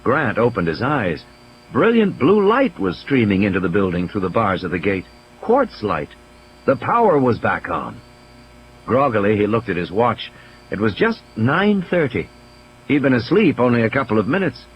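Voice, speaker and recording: A man's voice: medium pitch, highs cut off, a little hiss or hum. A man reading scripted dramatic story narration as an audiobook narrator. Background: background ambience and sound effects.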